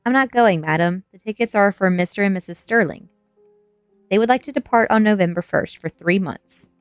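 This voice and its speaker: A woman's voice: mid-range voice, a little fast, clear, resonant tone, dry recording, faint music behind, close to an everyday voice. A woman voicing a bony, creepy old European manservant in an audiobook, formal and courtly, with a thick accent.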